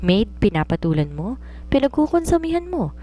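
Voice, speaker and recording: A woman's voice: on the high side, sing-song, brisk, mellow, pitched above the performer's own voice. A woman voicing an amused, teasing young woman friend with a snobbish, dismissive manner in a story. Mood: amused, mocking.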